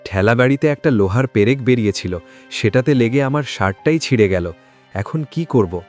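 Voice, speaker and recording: A man's voice: medium pitch, expressive, normal speed, a little mellow, faint music behind, lightly acted. A man playing a poor, hardworking village father, earnest, meek and polite, in a moral-story cartoon. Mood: humble, tired.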